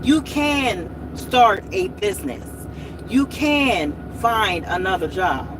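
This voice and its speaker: A woman's voice: medium pitch, very animated, slow, noisy background. A woman in her late forties hosting a motivational vlog, speaking in a repetitive, encouraging way and often saying y'all. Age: 40s. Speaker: host.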